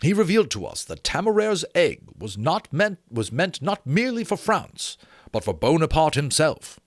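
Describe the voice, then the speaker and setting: An earnest officer and gentleman, as a man performs him from a novel. He speaks with formal deference and wide, lively swings of pitch. The sound is close and dry. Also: normal speed, no room sound. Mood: wondering, serious. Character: Lawrence, earnest, polite, dutiful officer and gentleman. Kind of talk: dramatic audiobook character reading.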